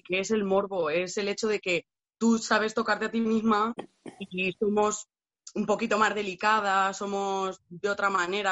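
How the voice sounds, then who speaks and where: A woman's voice: mid-range voice, a bit bright. Candid young Castilian woman, slightly muffled. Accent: Castilian Spanish. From La Mancha, Spain. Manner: candid, colloquial. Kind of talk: casual chat.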